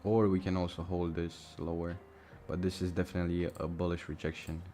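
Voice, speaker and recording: Deep-voiced man, Romanian accent. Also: level tone, brisk, warm tone. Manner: casual, thinking aloud, swears freely. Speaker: live-streaming trader. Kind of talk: casual live-stream commentary. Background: music and mouse clicks.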